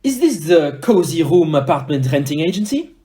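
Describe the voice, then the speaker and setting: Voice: mid-range voice, big pitch swings, normal speed, dark tone, a little hiss or hum, close to an everyday voice. A performer playing a fussy, formal Belgian male detective in an audio play, with a French accent and precise, courteous speech. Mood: fussy, polite.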